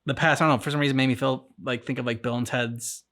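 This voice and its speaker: A man's voice: medium pitch, steady tone, quick pace, a bit bright. A man co-hosting a game reaction show, talking in a loose, conversational way. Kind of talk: casual game reaction commentary.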